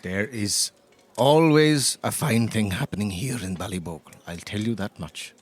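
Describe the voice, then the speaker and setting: A man's voice: on the low side, normal speed, no room sound, close to an everyday voice. A man with an Irish accent playing a toothless, roguish eighty-year-old pub regular in an improvised comedy game, with a theatrical, folksy lilt. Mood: folksy, musing. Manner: theatrical, lilting, folksy turns of phrase.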